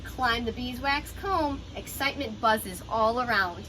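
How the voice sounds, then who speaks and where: A woman reading a children's picture book aloud like a teacher, with expressive, instructive delivery. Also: fairly high pitch, a little slow, background noise. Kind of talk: children's storybook read-aloud with explanatory asides.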